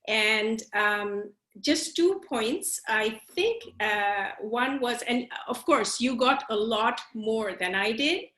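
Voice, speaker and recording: A woman's voice: a little high, lively intonation, takes it slowly, hardly any pauses, a bit bright, echoey room. A woman from Bangladesh, a trainee interpreter giving polite, hesitant feedback to a classmate on a video call.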